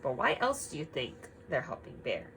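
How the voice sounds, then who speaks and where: A woman reading a picture book aloud to children, expressive and emphatic, pausing to ask simple guiding questions. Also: sits low, vocal fry, a little hiss or hum, poor audio.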